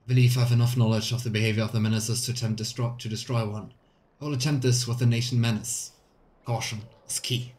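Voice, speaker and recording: A man reading aloud the journal of an earnest, methodical demon researcher in measured, clipped phrases for a video game. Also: mid-range voice, fairly even intonation, darkish tone, natural voice. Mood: earnest, studious.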